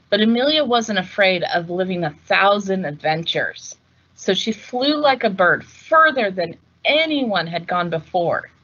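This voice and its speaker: A woman reading a picture book aloud as host, in a measured, deliberate storytelling delivery. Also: fairly low pitch, fairly bright tone, dull audio. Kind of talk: scripted picture-book narration.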